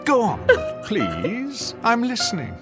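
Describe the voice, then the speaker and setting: An actor in a radio drama playing a smug, pompous, sadistic husband, with a theatrical, sardonic manner. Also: male voice, sits high, wildly swooping pitch, takes it slowly, over music, exaggerated voice. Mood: mocking delight. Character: sadistic, smug, pompous husband who bullies his wife. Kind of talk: modern radio drama acting.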